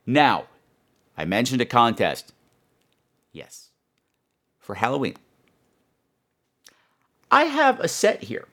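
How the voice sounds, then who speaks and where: A man's voice: mid-range voice, huge pitch swings, slow pace, long pauses, bright, forceful tone. A man hosting a horror podcast, chatting to his listeners in a campy, playful, self-mocking and emphatic way. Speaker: podcast host.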